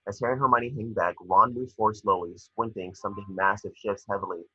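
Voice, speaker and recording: A man's voice: on the low side, steady tone, quick pace, pushed and bright, breathy edge, dry recording, highs cut off. A man reading stage directions as narrator for a screenplay table read.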